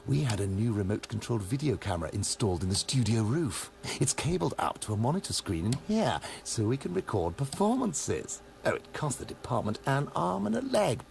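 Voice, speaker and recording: A man's voice: mid-range voice, very animated, normal speed, vocal fry, darkish tone, a little hiss or hum. An actor in a radio comedy playing a harassed, brusque and sarcastic male university lecturer. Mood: sardonic, exasperated. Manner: brusque, sardonic, blustering. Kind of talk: radio comedy drama acting.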